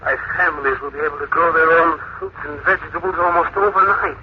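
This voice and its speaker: A man acting as an earnest older doctor of science in a vintage radio play, with a slightly high voice. He speaks in grave, deliberate declarations through a thin, band-limited recording with faint hiss. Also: close to an everyday voice. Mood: awed, earnest. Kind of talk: 1950s radio drama acting. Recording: tinny, hissy old radio recording.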